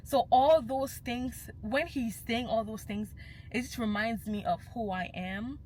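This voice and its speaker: A woman's voice: a little high, unhurried, mellow, a little hiss or hum, poor audio. Passionate young woman. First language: Yoruba. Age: young adult. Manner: passionate and emphatic, slipping into Yoruba phrases. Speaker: vlogger. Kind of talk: casual vlog monologue.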